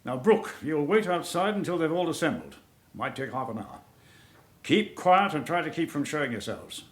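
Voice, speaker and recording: An actor playing a commanding detective chief inspector in a radio drama, giving clipped, curt orders in an echoey space. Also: male voice, on the high side, big pitch swings, moderate pace, fairly bright tone. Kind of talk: naturalistic British radio drama acting. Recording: echoey, roomy sound.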